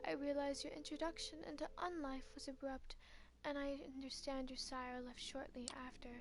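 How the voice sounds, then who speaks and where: A woman voicing a cold, noble female vampire prince in a game, speaking in a measured, commanding way. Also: high-pitched, little pitch movement, a little hiss or hum, natural voice. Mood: imperious.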